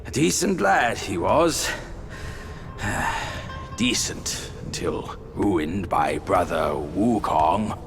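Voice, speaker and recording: A sardonic old immortal drawls slowly in a low, gravelly voice. His pitch swings widely over clear cinematic music. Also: expressive, heavily acted. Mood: wry. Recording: game cutscene with music.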